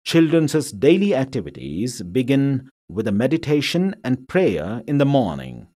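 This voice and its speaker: A man reading a scripted documentary narration in a measured way. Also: mid-range voice, huge pitch swings, noisy background. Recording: voice-over over background music. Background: music.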